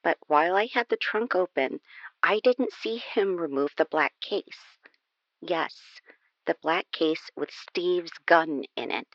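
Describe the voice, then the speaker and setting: A woman's voice: on the low side, measured pace, no room sound, lo-fi sound. An actress reading a confessional letter as an apologetic, confiding convicted woman in a dramatic audio reading. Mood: confessional, explaining.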